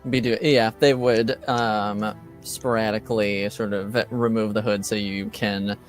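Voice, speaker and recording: A man improvising as a game master in casual narration, with a hesitant, halting delivery. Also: lowish voice, flat delivery, soft background music.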